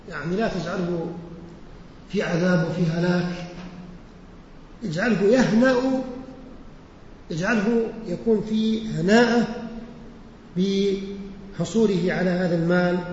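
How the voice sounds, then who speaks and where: A man teaching a religious lesson who recites verses slowly, repeats them and explains their words with questions to his listeners. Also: high-pitched, a little mellow, slight background noise. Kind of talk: lesson explaining poetry and sayings. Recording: echoey hall, muffled lo-fi recording.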